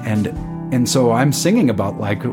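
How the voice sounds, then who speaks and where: A man's voice: mid-range voice, expressive, normal speed, music in the background. A man, a songwriter guest, talking casually in an interview and explaining at length with lots of fillers. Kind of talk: casual radio interview chat.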